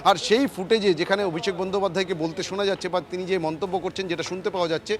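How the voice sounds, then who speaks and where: Fast high-pitched male field reporter. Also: steady tone. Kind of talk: live breaking news report. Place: outdoors at a city courthouse compound.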